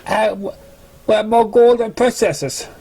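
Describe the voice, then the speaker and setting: A man voicing an outraged, protective pony parent, scolding with drawn-out emphasis. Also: high voice, steady tone, creaky, warm tone, pitched above the performer's own voice, full character voice.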